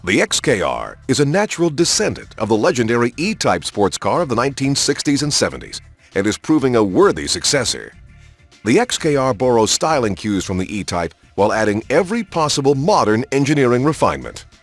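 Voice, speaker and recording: Lively, slightly husky male narrator over music. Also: mid-range voice, fairly quick. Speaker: narrator of a racing game's car showcase. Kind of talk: scripted promotional documentary narration.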